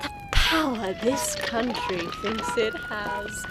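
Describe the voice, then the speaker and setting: A woman's voice: high-pitched, wildly swooping pitch, takes it slowly, heavily acted. A woman playing a gleeful, mocking villain who styles herself an empress in an audio drama, theatrical and taunting. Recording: noisy scene with sirens behind.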